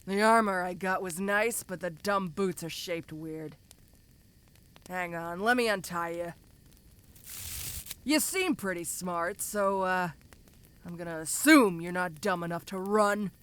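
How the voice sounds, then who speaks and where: A woman's voice: on the low side, unhurried, long pauses, a bit bright, clean tone. A woman voicing a tough, domineering giantess ogre in a fantasy audio roleplay, talking to the listener in a casual, teasing, condescending way. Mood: casual, coaxing.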